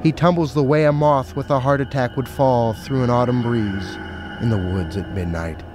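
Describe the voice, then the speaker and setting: A man's voice: medium pitch, moderate pace, music in the background. A man narrating a scripted story dramatically as a storyteller.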